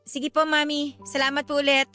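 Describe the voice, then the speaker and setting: A woman's voice: sits high, moderate pace, faint music behind. A woman playing a weary, patient wife and mother in a Filipino radio drama. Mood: polite, patient. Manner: polite, expressive.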